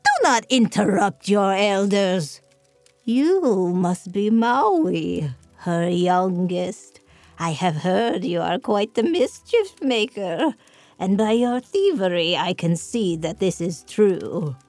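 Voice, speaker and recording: A woman voicing a sharp-tongued, half-blind old grandmother who scolds and mocks with a cackle. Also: mid-range voice, expressive, takes it slowly, no echo, faint music behind. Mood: scolding.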